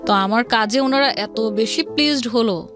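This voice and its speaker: A woman's voice: on the high side, moderate pace. A woman with a Bangladeshi accent telling her life story as an interview guest, mixing English words into her speech. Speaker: interview guest, event planner. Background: background music.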